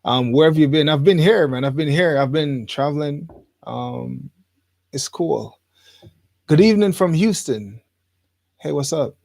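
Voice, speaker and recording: A man from Jamaica hosting a livestream, chatting informally to viewers in a rambling way and pausing as he goes. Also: a little high, very animated, creaky, darkish tone, dry recording. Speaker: livestream host. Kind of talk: casual livestream chat to viewers. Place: studio.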